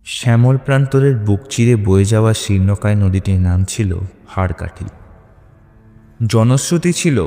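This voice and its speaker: A man's voice: lowish voice, mellow. A man narrates a scripted horror story. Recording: long reverb with music underneath. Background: music.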